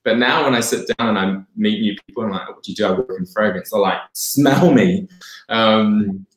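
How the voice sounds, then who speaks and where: A man in his forties with a New Zealand accent, a brand co-founder talking eagerly and laughing often in a video call interview from home. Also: fairly low pitch. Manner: enthusiastic, quick, laughs often. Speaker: fragrance brand co-founder, guest. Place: home room, indoors. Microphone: video call webcam mic. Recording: roomy echoey home room.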